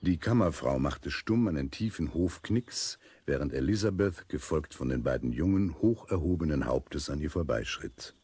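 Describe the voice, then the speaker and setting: A man playing the calm storyteller of a children's adventure audio drama, reading scripted narration. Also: a bass voice, normal speed, few pauses, husky, no echo, full character voice.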